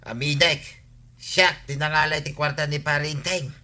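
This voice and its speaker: An actor playing a shifty, protesting and pleading neighbour in an Ilocano radio comedy, with an Ilocano accent. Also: male voice, on the high side, steady tone, normal speed, sharp tone. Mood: sheepish. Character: shifty male neighbour and buddy of the captain.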